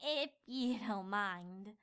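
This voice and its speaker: A woman voicing young female cartoon ponies in a theatrical, expressive fan-fiction reading, by turns exasperated and fussy. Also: medium pitch, very slow, clear, resonant tone. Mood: sly, grumbling.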